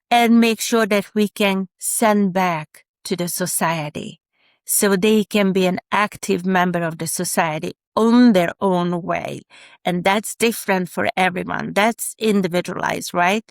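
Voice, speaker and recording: A woman's voice: medium pitch, dry recording. A woman in her fifties from Hungary with a Hungarian accent, a special educator speaking as a podcast guest with many fillers and restarts. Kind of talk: podcast interview.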